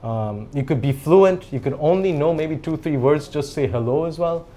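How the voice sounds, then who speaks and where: A man's voice: fairly high pitch, moderate pace, warm tone, slight background noise. A man in his forties whose first language is Japanese, talking as a company CEO in a podcast interview, chatty and telling stories with small laughs. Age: 40s. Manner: chatty storyteller, laughs between phrases, rambling. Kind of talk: casual interview conversation.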